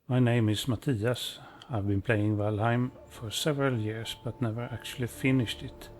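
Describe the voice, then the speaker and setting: A man's voice: medium pitch, moderate pace, a little hiss or hum. Modest man with a Swedish accent. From Sweden. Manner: calm, modest, self-deprecating. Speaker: let's play host. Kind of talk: gameplay commentary.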